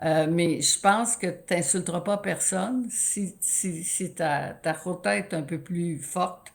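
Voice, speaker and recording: A woman in her sixties with a Quebec French accent, a sports broadcaster telling stories in an animated interview, often restarting her sentences. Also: fairly low pitch, slow pace, creaky. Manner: animated, storytelling with many restarts. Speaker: guest, sports play-by-play announcer. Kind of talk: conversational interview.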